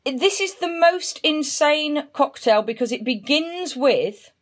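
A woman co-hosting a podcast in casual chat, telling things in an animated, emphatic way. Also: high-pitched, slow pace. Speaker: podcast co-host.

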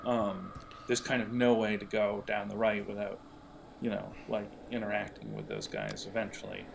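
A man narrating an improvised role-playing game as game master, conversational and pausing to think. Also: on the low side, lively intonation, vocal fry, background noise.

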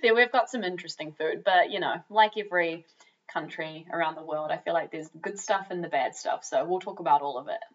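A young woman with a New Zealand accent, slightly hoarse with a runny nose, co-hosting a casual podcast chat in an upbeat, giggly way. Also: fairly low pitch, a little fast, recorded from a distance, lo-fi sound. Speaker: podcast co-host. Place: home office.

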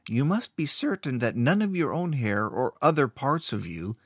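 A man voicing a sinister, scheming male rune master who speaks smoothly but with a cold, threatening edge. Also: medium pitch, big pitch swings, moderate pace, no room sound, clean studio sound, voice pushed up. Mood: cold commanding.

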